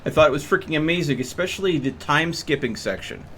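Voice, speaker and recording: A man's voice: fairly high pitch, expressive, fast, sharp tone, slight background noise. A young man streaming a game, chatting casually with a rambling, hesitant manner. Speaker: gaming streamer. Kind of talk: casual live-stream commentary.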